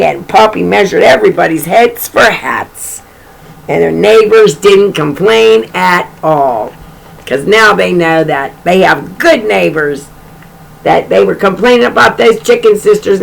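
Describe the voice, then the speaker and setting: A woman's voice: mid-range voice, creaky, rough recording. A woman reading a children's storybook aloud in an informal way, with chatty, folksy asides about the pictures.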